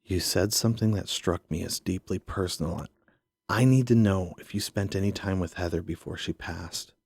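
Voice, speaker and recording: A man's voice: low voice, moderate pace, vocal fry, darkish tone, slightly rough, no echo, clean studio sound, heavily acted. A man playing a worn-out but dogged police detective, guarded and probing, in an audio drama. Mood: probing, earnest.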